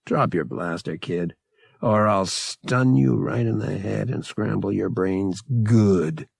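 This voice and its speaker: Young bounty hunter, acted by a male reader: tough, slangy, smug, gravelly, issuing threats at a measured pace for an audiobook. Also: fairly low pitch, no echo, clean studio sound, heavily acted. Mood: smug, threatening. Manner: blunt, slangy, commanding.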